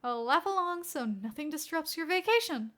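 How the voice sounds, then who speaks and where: A woman's voice: high-pitched, animated, normal speed, fairly bright tone, pitched above the performer's own voice. A woman voicing a proud, frazzled male police detective who growls and strains through clenched teeth in a story reading. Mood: strained cheer.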